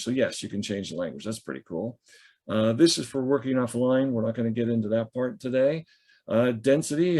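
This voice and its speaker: A man teaching staff a software tool over a video call, in a relaxed, chatty way with many fillers as he thinks aloud. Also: mid-range voice. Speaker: instructor. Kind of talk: screen-share software training. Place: video call from a home or office. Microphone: computer or headset mic on a video call.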